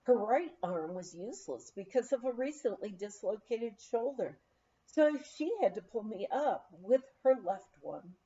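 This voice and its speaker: A woman narrates a memoir at an unhurried pace, her voice soft-edged and mellow, pitched a little high. She tells it as a measured, expressive story read aloud, on a slightly dull recording. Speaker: audiobook reader.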